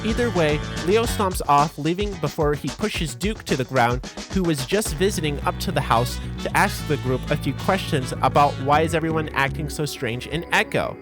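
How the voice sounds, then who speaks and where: A man's voice: fairly high pitch, normal speed, hardly any pauses. A man giving a scripted, informal story recap with wry, joking asides. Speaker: video narrator recapping a visual novel. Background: music under the voice.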